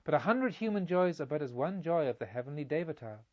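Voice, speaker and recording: Man as a gruff, insistent, high-swinging young pilgrim. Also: lively intonation, quick pace, muffled recording, natural voice. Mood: earnest, rising. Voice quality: gruff, somewhat tremulous. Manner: insistent, argumentative. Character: young Indian merchant pilgrim, proud, displeased, arguing earnestly.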